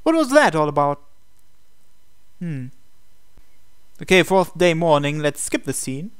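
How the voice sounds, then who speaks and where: Crisp-voiced man reading a visual novel. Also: on the high side, very animated, a little slow, dry recording, clean studio sound. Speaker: gaming video host. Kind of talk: reading visual novel text aloud with asides.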